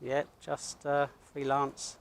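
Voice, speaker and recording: A man's voice: medium pitch, completely flat delivery, takes it slowly, gravelly. A British man talking bluntly and self-assuredly as he narrates to his camera outdoors and chats casually, working as an independent videographer. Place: outdoors at a college car park.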